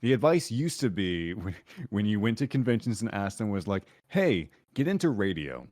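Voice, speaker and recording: A man's voice: on the low side, sing-song, normal speed, a little mellow, no room sound, clean studio sound. A man in a casual panel chat giving earnest, explanatory advice as a voice actor and podcast guest.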